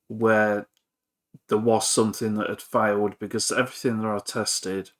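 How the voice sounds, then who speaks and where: Low, monotone man, hesitant. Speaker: hobbyist electronics repairer and video presenter. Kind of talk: informal workbench repair commentary.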